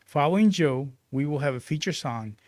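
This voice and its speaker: A man's voice: on the high side, expressive, moderate pace, a little mellow, no room sound. A man speaking as a club president, delivering prepared announcements to open a meeting.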